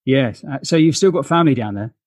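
A man's voice: medium pitch, animated, fast talker, no echo, studio quality. A middle-aged man hosting a conversational interview, casual and affirming, with short acknowledgements. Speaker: interview show host.

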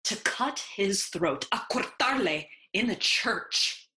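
A woman's voice: medium pitch, expressive, takes it slowly, pushed and bright, roomy sound. A woman playing a grieving, vengeful young nobleman in a Shakespearean audio play, with intense declamatory delivery. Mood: grim resolve.

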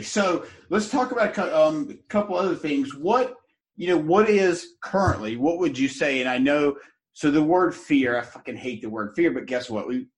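A man's voice: on the high side, low-quality recording. A man hosting a podcast interview, asking rambling questions in a casual way and swearing freely. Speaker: podcast host.

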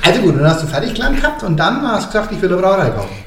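A man's voice: high-pitched, big pitch swings, racing, a crowd in the background. Male interviewer in an echoey room. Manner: colloquial, interjecting. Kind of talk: casual conversational interview. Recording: roomy echo.

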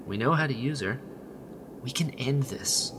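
A man playing an earnest, protective rebel leader in a sci-fi radio drama, speaking reassuringly with breathy pauses. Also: mid-range voice, noisy background, lightly acted. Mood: somber, determined.

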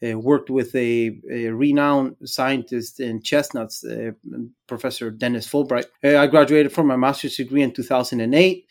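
A man's voice: mid-range voice, fairly even intonation, moderate pace, few pauses. A middle-aged man from Guatemala with a Guatemalan Spanish accent, answering interview questions conversationally as an academic, in stop-and-start phrasing. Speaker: interview guest, academic engineer and extension specialist.

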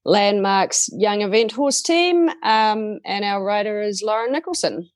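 Slow-talking New woman. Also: mid-range voice, expressive, no echo, clean studio sound. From New Zealand. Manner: laid-back, brief.

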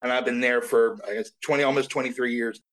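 A man's voice: mid-range voice, steady tone, fast, a bit bright, poor audio. A middle-aged man as an interview guest, talking earnestly and self-deprecatingly with many restarts. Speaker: voice actor guest. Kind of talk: casual interview chat.

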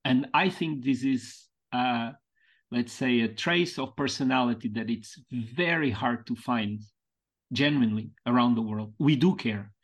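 A man from Portugal with a Portuguese accent, a podcast guest speaking English as a second language in a thoughtful, halting way, stretching out key words. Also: mid-range voice. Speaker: podcast guest, mentoring founder. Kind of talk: reflective conversational interview.

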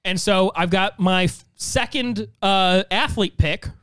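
Clean, high-voiced American man thinking aloud. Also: takes it slowly, fairly bright tone, clean tone, dry recording. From St. Louis area, United States. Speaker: podcast co-host. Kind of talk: casual comedic banter.

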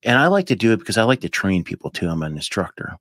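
A man in his fifties with a fairly low voice, talking as a podcast guest. He rambles, jumps between thoughts and fills gaps with "like" and "you know." The sound is dry and close, with little room behind it. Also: quick pace, dry recording. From United States. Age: 50s. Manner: rambling, animated, with many fillers. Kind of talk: casual chat.

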